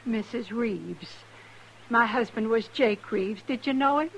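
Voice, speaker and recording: An actress playing a humble, desperate homesteader widow with pleading, rustic speech in an old radio drama. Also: female voice, fairly high pitch, unhurried, vocal fry, slight background noise, low-quality recording. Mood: sad, humble. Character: anxious, humble, starving homesteader mother, recently widowed. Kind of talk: 1950s radio drama acting.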